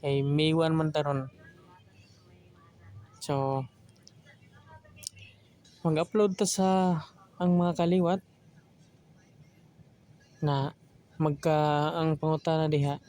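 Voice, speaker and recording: Slow, flat-toned Filipino man chatting casually. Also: on the high side. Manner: joking, colloquial. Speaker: host of an audio drama channel. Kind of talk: casual rambling intro chat.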